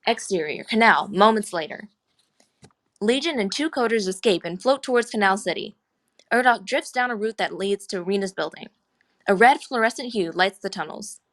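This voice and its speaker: A woman's voice: medium pitch, expressive, normal speed. A woman reading scripted screenplay action lines as the narrator of a table read.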